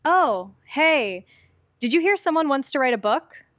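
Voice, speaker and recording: Cocksure young girl detective, played by a woman, high-voiced. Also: sing-song, unhurried, fairly bright tone, no room sound, studio quality, heavily acted. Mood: excited, cocky. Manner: emphatic, self-assured. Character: bold, stubborn, overconfident twelve-year-old girl detective. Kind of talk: scripted audio drama acting.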